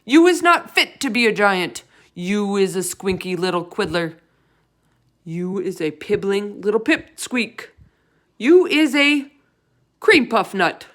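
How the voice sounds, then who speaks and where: A woman acts out a huge, brutish male giant from a storybook. He is greedy and bullying, bellowing his threats and insults in coarse, broken grammar, pushed louder and higher than plain narration. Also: big pitch swings, takes it slowly. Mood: scornful, shouting. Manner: loud, roaring, insulting, ungrammatical speech. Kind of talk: storybook read-aloud character voice.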